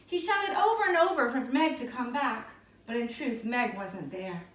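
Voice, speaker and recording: A woman reading a storybook aloud to schoolchildren in an expressive narrating style. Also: fairly high pitch, normal speed. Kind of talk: expressive children's read-aloud. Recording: echoey room, distant microphone.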